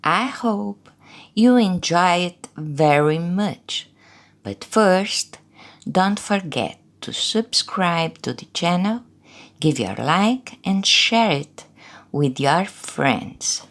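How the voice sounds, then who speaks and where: A woman teaching English through slow, deliberate pronunciation drills, pausing between short phrases. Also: on the low side, lively intonation. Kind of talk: slow drilled language lesson.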